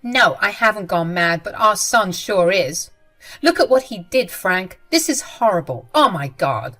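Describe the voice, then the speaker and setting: Woman doing a shrill, spiteful mother-in-law. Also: big pitch swings, a little slow, soft background music. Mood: furious. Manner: shrill, loud and contemptuous. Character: spiteful scornful middle-aged mother-in-law.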